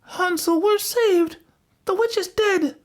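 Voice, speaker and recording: An earnest little storybook girl, acted out by an adult storyteller, very high in pitch. She calls out plaintively, with big swoops in her voice. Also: sing-song, slow pace, darkish tone, exaggerated voice. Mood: joyful relief. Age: child. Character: frightened, pleading little girl from a fairy tale.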